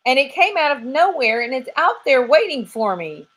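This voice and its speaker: A woman voicing a twitchy, timid male squirrel who is scared of everything, with drawn-out, quavering cries. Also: high-pitched, big pitch swings, a bit bright, pitched above the performer's own voice, exaggerated voice.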